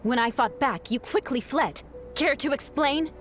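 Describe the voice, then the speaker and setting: A woman's voice: sits high, moderate pace, fairly bright tone. A woman voicing a proud female knight who speaks in a cool, clipped and sardonic way. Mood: accusing. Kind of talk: video game voice acting. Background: game music.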